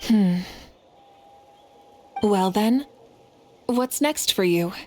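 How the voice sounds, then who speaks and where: A woman playing a composed, confident female warrior leader in a video game, speaking in a measured, gracious way. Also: medium pitch, expressive, a little hiss or hum. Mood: composed, curious. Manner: measured, poised. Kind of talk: video game character acting.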